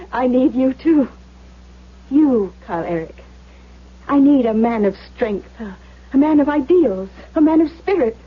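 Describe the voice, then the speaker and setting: An actress playing a flirtatious, romantic stage star, languid and seductive, in a 1970s radio drama. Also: female voice, sits high, lively intonation, a little slow, pauses often, husky, exaggerated voice. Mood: yearning, seductive. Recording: thin, phone-like old radio recording.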